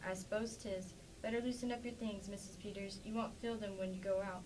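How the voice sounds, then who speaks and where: A woman's voice: medium pitch, fairly even intonation, brisk, natural voice. A woman playing a plainspoken, defensive farmer's wife in an amateur staged play reading. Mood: plain, musing. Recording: distant mic with faint background noise.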